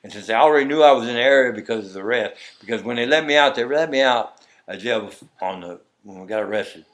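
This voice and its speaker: A man's voice: medium pitch, fast talker, a bit bright. A middle-aged man with a Texas accent, a guest telling a long story and acting out what others said. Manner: rambling, acts out quoted dialogue. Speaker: interview guest. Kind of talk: conversational storytelling.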